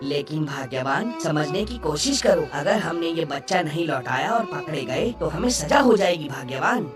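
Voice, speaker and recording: A woman's voice: deep voice, animated, normal speed. A woman voicing a poor, anxious village fisherman and father in a Hindi children's cartoon, with dramatic, urgent delivery. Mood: alarmed. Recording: slightly distant, faint music behind.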